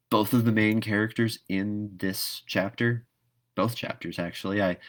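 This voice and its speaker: Male read-aloud host, lower-pitched voice, reflective and casual, comfortable narrating a storybook.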